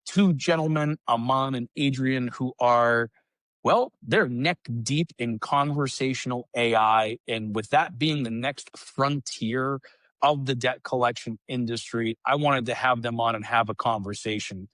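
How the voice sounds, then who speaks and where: A man's voice: mid-range voice, measured pace, a bit bright, no echo. A man hosting an interview podcast, upbeat and chatty, praising his guests. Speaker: podcast host.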